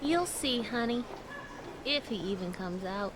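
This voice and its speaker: A woman plays a weary, anxious mother with a slightly high voice and a tired, worried tone. A noisy waiting room is heard around her. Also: expressive, a little slow.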